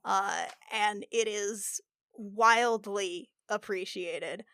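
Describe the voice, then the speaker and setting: A woman chatting casually as a podcast regular, playful and expressive. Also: mid-range voice, drawn-out words, clean tone, no room sound. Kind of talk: casual group chat. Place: remote group recording over a call.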